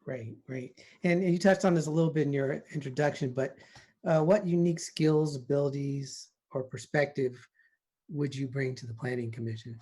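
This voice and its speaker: A man asking a candidate measured interview questions over a video call. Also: medium pitch, fairly even intonation, warm tone, slightly rough. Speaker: city council member interviewer. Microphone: video call mic.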